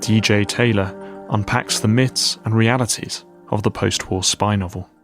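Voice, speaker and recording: Clear music plays behind a man with a fairly low voice. He reads a scripted podcast introduction as the announcer. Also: fairly even intonation, normal speed. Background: new-age music.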